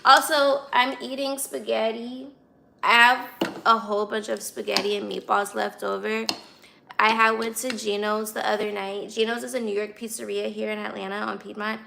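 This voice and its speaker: A woman in her twenties with an American accent and a raspy, slightly hoarse voice, chatting casually to livestream viewers. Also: mid-range voice, flat delivery, moderate pace, background noise. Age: 20s. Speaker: livestream host.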